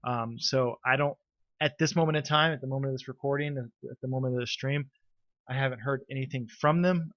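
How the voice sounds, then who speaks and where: A man with a stuffy, congested voice gives a loose, halting recap as league organizer, with many ums. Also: medium pitch, a bit bright. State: congested, coming down with a cold. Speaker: league organizer and team player. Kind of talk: casual spoken recap.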